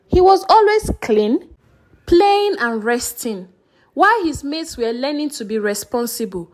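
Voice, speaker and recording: A woman narrating a scripted folktale as a storyteller. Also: sits high.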